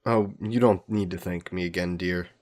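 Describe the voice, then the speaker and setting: A man playing an old aristocratic vampire in a romantic audio roleplay, speaking in formal, genteel, measured phrases. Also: low-pitched, steady tone, a little mellow, close to an everyday voice. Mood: polite, reflective. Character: ancient aristocratic male vampire, courtly, protective, gently teasing.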